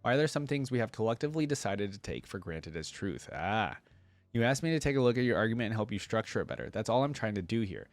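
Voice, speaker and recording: A man's voice: fairly low pitch, expressive, fast, dry recording. A man hosting a fan reaction video, talking informally and reading story text aloud. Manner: informal, conversational.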